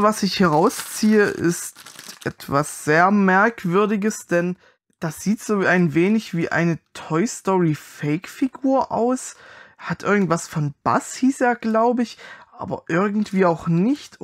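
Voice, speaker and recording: A man giving casual, wry and critical commentary as he reviews an unboxing. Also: high voice.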